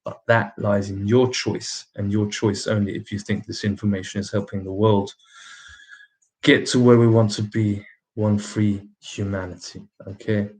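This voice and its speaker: A man's voice: lowish voice, little pitch movement, normal speed, a little mellow, low-quality recording. A man with a slightly British accent hosting a casual livestream, putting emphatic rhetorical questions to his viewers and laughing often between remarks. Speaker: livestream host.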